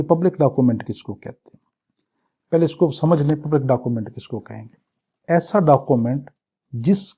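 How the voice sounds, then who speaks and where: A dull, lo-fi recording of a man with a Pakistani accent giving an exam-prep lecture. His voice is rough and husky. He teaches didactically, setting out questions and supplying the answers himself. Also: medium pitch. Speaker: law lecturer. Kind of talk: exam-prep lecture, reading English clauses and explaining them.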